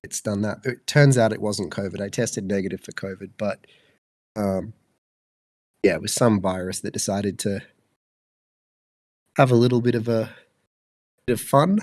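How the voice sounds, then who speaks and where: A man's voice: lowish voice, moderate pace, long pauses, a little mellow, no room sound, clean studio sound. A man in his twenties with an Australian accent, co-hosting a podcast, sounding congested and fluey, rambling with many fillers. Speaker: podcast co-host. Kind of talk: casual chat.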